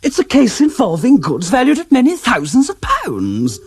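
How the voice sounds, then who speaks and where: A soft-spoken clairvoyant, calm and sure of himself,. His voice is breathy and husky, with lively rises and falls. The sound is dry and close. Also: very high-pitched, moderate pace, dry recording, heavily acted. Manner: quiet, certain, faintly ominous. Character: calm, insistent male clairvoyant, adult. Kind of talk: radio drama acting.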